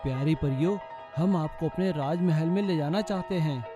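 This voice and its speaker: Man as a courteous, high-voiced young prince. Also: moderate pace, over music, lightly acted. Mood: grateful. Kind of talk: children's cartoon story acting.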